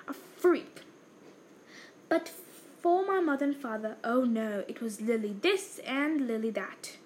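A woman voicing a shrill, bitter middle-aged aunt who rants and shrieks, in a read-aloud novel. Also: a little hiss or hum. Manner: shrieking, ranting.

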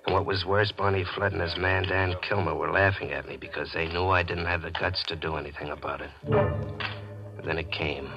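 A man's voice: low voice, fairly even intonation, moderate pace. An actor playing a bitter, jilted small-time gambler who tells his own story in hardboiled 1940s radio style. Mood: bitter, determined. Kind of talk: 1940s radio acting, first-person crime narration. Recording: dull vintage radio recording, faint music.

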